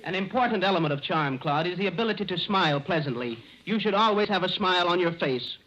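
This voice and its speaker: Pompous bookish man, high-pitched and pedantic, for vintage radio comedy with heavy noise. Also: lively intonation, fast, bright, forceful tone. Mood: earnest. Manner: pedantic, over-precise, flowery vocabulary. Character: pompous, bookish man clumsily trying out slang. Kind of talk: 1930s-40s radio comedy acting. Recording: noisy, muffled old radio recording.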